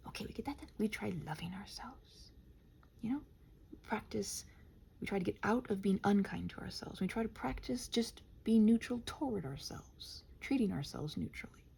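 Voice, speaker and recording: A woman in a casual, improvised stream-of-consciousness ramble, halting and interrupting herself, often slipping toward a half-whisper, with a gentle encouraging manner. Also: mid-range voice, creaky, slight background noise. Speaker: host of an improvised ramble audio for body doubling.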